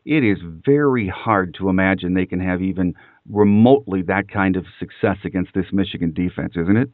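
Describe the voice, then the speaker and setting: A man hosting a sports podcast, interviewing and commenting in a measured way with pauses. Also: deep voice, dry recording, phone-quality sound.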